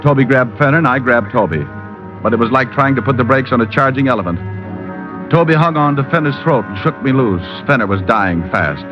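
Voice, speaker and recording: An actor playing a cool, wry, hard-boiled private detective in an old radio mystery, narrating and trading wisecracks. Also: male voice, mid-range voice, expressive, fairly quick, music in the background, close to an everyday voice. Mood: tense narrating. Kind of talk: 1940s-50s radio detective narration and dialogue. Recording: muffled old radio recording.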